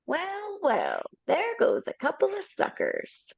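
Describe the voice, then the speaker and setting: A woman's voice: extremely high pitch, wildly swooping pitch, takes it slowly, creaky, no room sound, voice pushed up, heavily acted. A woman voicing a sarcastic talking bird-hat that heckles everyone, over slightly dull video-call audio. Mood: snarky. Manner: snarky, wisecracking.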